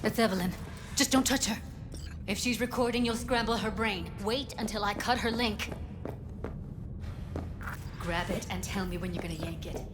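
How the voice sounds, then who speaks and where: A woman's voice: medium pitch, moderate pace, pauses often, close to an everyday voice. An actress playing a guarded, blunt young woman who is a tech expert in a video game. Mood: focused, tense. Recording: music behind the voice.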